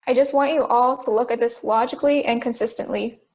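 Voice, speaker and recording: Woman acting high-pitched female juror, persistent, logical, challenging, radio play. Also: steady tone, fairly quick, darkish tone, lightly acted. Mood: reasoning. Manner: pointed, questioning. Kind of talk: amateur audio drama acting.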